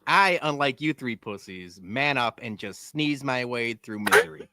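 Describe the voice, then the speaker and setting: A man's voice: mid-range voice, animated, normal speed, background noise. A man co-hosting a casual sports podcast, teasing and needling the others. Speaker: podcast co-host.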